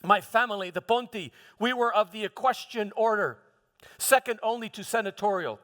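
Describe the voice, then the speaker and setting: Guy acting as a proud defensive roman governor, high bright voice, halting dramatic church monologue in a big echoey hall. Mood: proud, reminiscing. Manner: declamatory, emphatic, halting with repeated words. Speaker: preacher performing a dramatic monologue. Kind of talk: first-person theatrical church monologue. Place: large hall, live church performance. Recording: long hall reverb.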